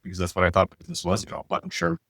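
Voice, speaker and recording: A man in his forties, raised in Turkey with Turkish as his first language, speaks quickly in a slightly low voice. He tells stories eagerly as an interview guest. The recording is dry and close. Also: breathy edge, dry recording. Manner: fast, animated storyteller. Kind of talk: casual interview storytelling.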